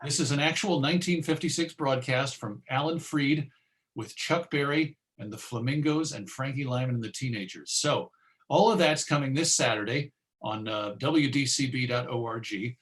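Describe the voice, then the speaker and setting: A man speaking as a radio host giving an informal online presentation, chatty and self-deprecating, with a halting delivery. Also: mid-range voice, a bit bright. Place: remote video presentation.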